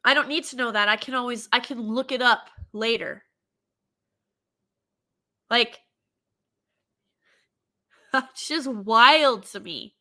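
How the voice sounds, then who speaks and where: A woman hosting her own commentary show, her voice stuffy from a cold. Her tone is bright and cutting, sitting a little above the usual pitch. She is animated and opinionated, slipping into mocking impressions as she goes. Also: slow, long pauses. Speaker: show host.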